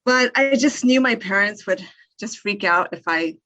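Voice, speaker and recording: A woman's voice: medium pitch, lively intonation, normal speed, bright, forceful tone. A woman interviewed as a film writer, telling her own story conversationally. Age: 30s or older.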